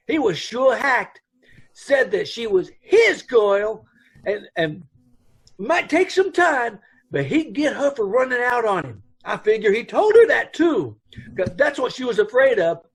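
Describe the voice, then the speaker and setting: A man voicing an anxious middle-aged dance hall owner who rambles and trips over his grammar, his voice pitched high and swinging widely. He sounds uneasy, as in an old-time radio play. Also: sing-song, unhurried, husky, full character voice.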